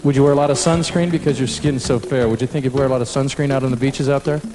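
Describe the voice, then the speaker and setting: A man's voice: medium pitch, steady tone, fast talker. Upbeat male pageant host in a roomy arena. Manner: upbeat, interviewing contestants. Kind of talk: live TV pageant hosting. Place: large indoor arena. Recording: roomy arena sound with loud music and crowd.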